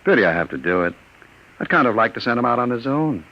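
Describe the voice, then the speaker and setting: An actor playing a scheming, cruel gangster in a 1950s radio drama, smooth and menacing, often dropping to a low murmur. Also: male voice, big pitch swings, quick pace, natural voice. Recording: dull lo-fi old radio recording.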